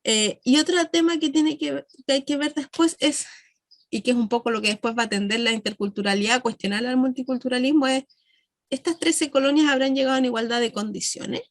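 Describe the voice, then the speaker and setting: High-voiced Chilean woman lecturing. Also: fairly quick, no echo, studio quality. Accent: Chilean Spanish. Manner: explanatory, asks rhetorical questions then answers them; many fillers and self-corrections. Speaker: university lecturer. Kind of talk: online class lecture.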